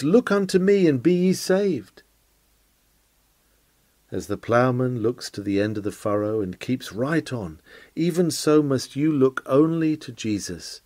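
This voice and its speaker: A man's voice: mid-range voice, a little mellow, dry recording. A man reading a historical sermon aloud in an earnest, exhortatory and rhetorical manner, with dramatic delivery.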